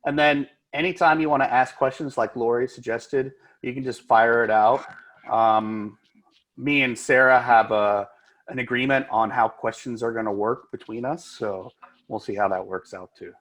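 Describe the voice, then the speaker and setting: An American man speaks over a video call in an easygoing, rambling way. He leans on fillers as he introduces himself and his topic. His voice sits in an ordinary middle pitch. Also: moderate pace, poor audio. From United States. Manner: relaxed, chatty, many "uh" fillers. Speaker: agile coach, co-presenter. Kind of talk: casual presentation on a video call.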